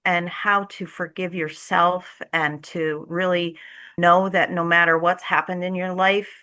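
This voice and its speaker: A woman's voice: on the low side, flat delivery, unhurried, sharp tone. A woman speaking as a community theatre director in a thoughtful interview, with an earnest, enthusiastic way of talking.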